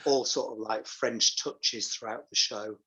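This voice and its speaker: A man's voice: medium pitch, steady tone, measured pace, pushed and bright, low-quality recording. An English man chatting casually and telling anecdotes as an interview guest, as heard over an online call. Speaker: pantomime dame performer, interview guest. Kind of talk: casual chat.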